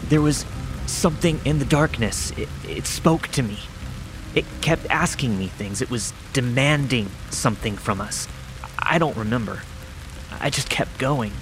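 Horror radio play: shaken young survivor, halting, pleading, very animated pitch, prominent music and rain behind. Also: male voice. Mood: dazed, frightened. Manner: halting, repeating himself, pleading. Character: terrified, dazed young man rescued from a horror. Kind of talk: horror audio drama acting.

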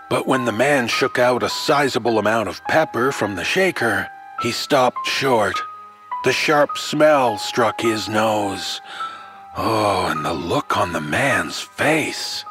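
Man playing a slow-talking folksy storyteller uncle over music. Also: medium pitch, natural voice. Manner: unhurried, theatrical, drawn-out phrasing with coy asides. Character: folksy television storyteller uncle, genial and darkly sly. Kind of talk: old-time TV horror storytelling.

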